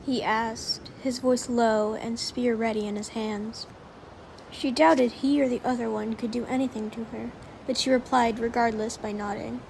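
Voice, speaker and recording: A woman's voice: on the high side, fairly even intonation, normal speed, vocal fry, darkish tone, slight background noise, low-quality recording. A woman narrating a story from a script, as in an audiobook of fan fiction.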